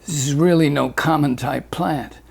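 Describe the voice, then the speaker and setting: A man's voice: on the high side, moderate pace, creaky, breathy edge. Man voicing a timid little boy. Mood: wondering. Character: shy, wondering little boy.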